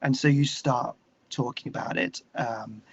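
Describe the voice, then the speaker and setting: A man with an English accent talking candidly and reflectively as an interview guest, searching for his words in a halting way. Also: mid-range voice, gravelly, slightly rough, dull audio.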